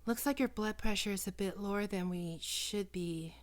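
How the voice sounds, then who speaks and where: A woman acting in an ASMR roleplay as a doting, possessive vampire posing as a small-town doctor, coaxing and teasing, often dropping into breathy whispers. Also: medium pitch, moderate pace, natural voice. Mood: concerned, chiding. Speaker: doctor character in audio roleplay.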